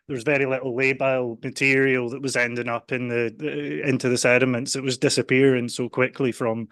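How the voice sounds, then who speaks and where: A loud man with a Scottish accent chairing a conference session, talking with some fillers. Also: medium pitch, little pitch movement, brisk, no echo, clean studio sound. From Scotland. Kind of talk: conference discussion. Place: conference hall.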